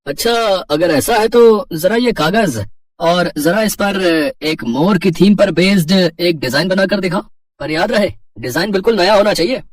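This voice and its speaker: A man's voice: high voice, normal speed, no room sound, far from the mic, rough recording. A man playing a courteous, appreciative factory owner in a story, confident and businesslike.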